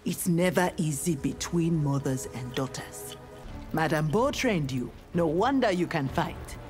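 A defiant, honorable warrior woman from a fighting game. Her voice sits fairly low, and she speaks firmly and with dignity, with faint music behind her. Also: animated, a little slow, a little mellow. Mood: composed. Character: defiant, honorable armored female ninja warrior. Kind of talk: scripted video game dialogue.

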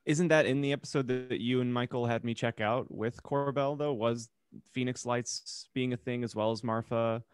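A man's voice: mid-range voice, fairly even intonation, moderate pace, clear, resonant tone, no echo. Male podcast co-host, mid-range voice, casual teasing chat, dry close recording. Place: indoor home room, remote video call.

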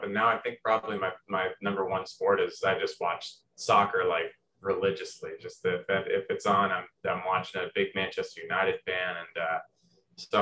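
Young man from Indiana, low voice, halting guest, many restarts, faint room hum behind. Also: pushed and bright, rough recording. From Southern Indiana. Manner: hesitant, many restarts and fillers. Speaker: guest composer. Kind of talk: casual interview.

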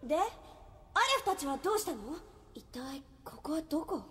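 Very high-pitched plucky girl. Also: animated, brisk, background noise. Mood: worried. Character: plucky, take-charge teenage girl adventurer. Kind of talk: anime audio drama acting. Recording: echoey, music underneath.

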